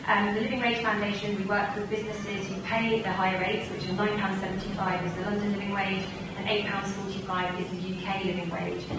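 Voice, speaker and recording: A woman from a charity giving polite panel remarks, starting sentences with um. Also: mid-range voice. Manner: polite, fillers um. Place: large hotel conference hall. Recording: echoey hall, distant mic, noisy.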